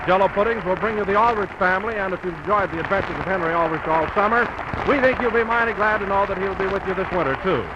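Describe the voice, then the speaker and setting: A man's voice: high-pitched, fast talker, dull audio, very noisy. An American man reading a 1930s radio advertisement in a polished, salesmanlike way, on an old broadcast recording. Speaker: radio commercial announcer.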